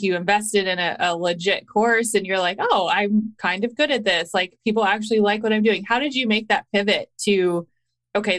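A woman's voice: mid-range voice, a bit bright. A woman hosting a podcast, interviewing in an informal, conversational way with "like" sprinkled through her speech. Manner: informal, frequent "like" while framing questions.

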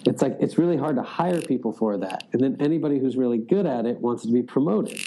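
A man's voice: medium pitch, lively intonation, quick pace, warm tone, a little hiss or hum, low-quality recording. A man in a casual interview, speaking loosely, with lots of "like" and restarts. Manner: loose, filler-heavy, frequent "like". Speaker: sales executive guest.

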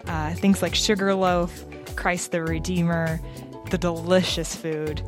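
An upbeat woman in her thirties hosts a travel podcast. Her voice is lowish for a woman, and she is chatty and cheerful. Faint music plays behind her. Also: little pitch movement, a little slow. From United States. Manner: upbeat, chatty, laughs often. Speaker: podcast host. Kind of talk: casual podcast interview.

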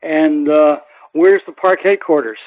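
A man's voice: fairly high pitch, measured pace, no room sound. A man hosting a conversational interview, asking questions and introducing guests. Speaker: interview host. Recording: thin, phone-like radio sound.

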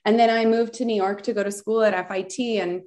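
A woman's voice: medium pitch, steady tone, fairly quick, darkish tone. A woman from Southern California, a beauty store founder, telling her story in a chatty, rambling way with many fillers and restarts. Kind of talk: casual interview storytelling.

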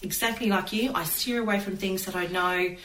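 A woman's voice: medium pitch, fairly even intonation, a little fast, a bit bright. A woman hosting a casual conversational talk show. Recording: echoey, distant mic, faint noise.

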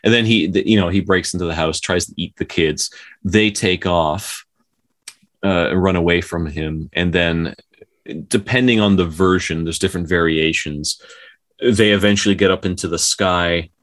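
A man co-hosting a podcast, casually retelling folktales in a halting, self-correcting way. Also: low voice, a bit bright. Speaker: podcast co-host.

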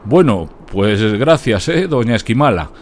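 A man acts out a bossy biblical king in a comic radio drama, easily exasperated yet grandly courteous. His delivery swings with lively pitch over clearly noisy background ambience. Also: measured pace. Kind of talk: comic radio play acting.

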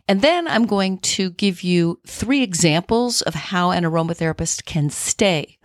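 A woman's voice: lowish voice, lively intonation, a little slow, no echo, clean studio sound. A middle-aged woman hosting an aromatherapy podcast, sounding a little nasal from the tail end of a cold, chatting and teaching. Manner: chatty, warm asides, then reads definitions. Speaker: podcast host.

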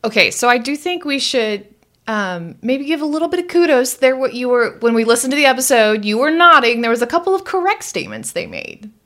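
A woman's voice: a little high, very animated, brisk, a bit bright. A woman co-hosting a conversational podcast, guiding the discussion and putting questions to her partner. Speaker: co-host asking questions.

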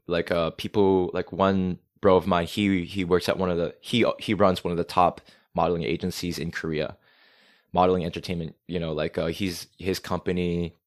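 An energetic man, a podcast guest talking casually and swearing freely. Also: deep voice. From United States. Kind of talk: casual conversation.

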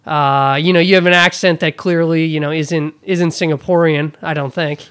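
A man's voice: a little high, fairly even intonation, moderate pace, a bit bright, clean tone. A man hosting a podcast interview in a hotel room, enthusiastic and full of fillers. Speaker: podcast host.

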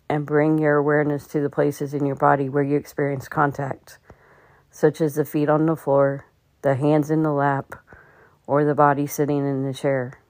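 A woman leading a guided meditation, giving gentle instructions with long pauses between phrases. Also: deep voice, dry recording. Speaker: meditation guide and podcast host.

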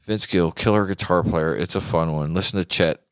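Male talk show host, low-pitched, dry sound, informal and slangy guitar chat with emphasis. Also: moderate pace, clear, resonant tone, no room sound.

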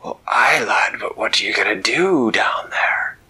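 A man voicing a gruff old male sea captain who talks in hearty sailor's dialect, full of "aye" and "lad". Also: lowish voice, expressive, a little slow, bright, forceful tone, in a whisper, heavily acted, rough recording. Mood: alarmed, shouting.

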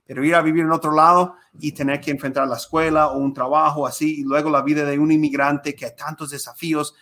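Male podcast host, fairly high voice, hesitant, lots of fillers. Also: flat delivery, quick pace, sharp tone. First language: Spanish. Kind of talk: conversational interview.

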